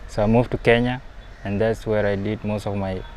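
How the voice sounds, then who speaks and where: A young man from South Sudan with a fairly low voice speaks in a documentary interview, thoughtful at first and livelier as he goes on. Faint outdoor ambience and passing traffic hang behind him. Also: level tone, a little fast, a little mellow. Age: young adult.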